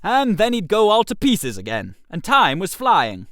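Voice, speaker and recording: A man reads a comic story in a high, very animated voice. He is chatty and expressive, playing the idle gentleman telling his own tale, and the sound is close and dry. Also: a little slow, dry recording. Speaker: audio story narrator. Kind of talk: scripted comic first-person story narration.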